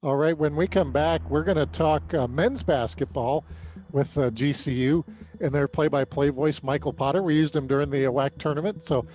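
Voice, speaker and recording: A man's voice: a little high, normal speed, a little mellow, rough recording. A man with an American accent talking through sports results in a casual way as a podcast co-host. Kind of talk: casual sports recap commentary.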